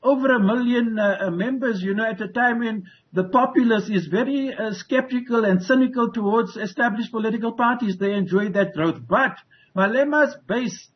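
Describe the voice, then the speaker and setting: A man's voice: very high voice, normal speed. An older man with a South African accent, giving assertive political analysis on a news show over a video call, with many restarts. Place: home room with wooden ceiling. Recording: muffled video call audio.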